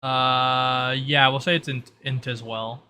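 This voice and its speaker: A man with a bright, forward voice at a middle pitch runs a tabletop game. He casually explains rules and rulings to his players, with slight noise on the recording. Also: level tone, takes it slowly. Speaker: game master.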